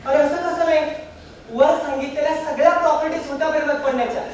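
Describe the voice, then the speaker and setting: A man's voice: extremely high pitch, fairly even intonation, fast. An actor playing a bossy, comic young man counselling his friend in a stage play, coaxing and insistent. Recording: echoey stage recording from far off, faint noise.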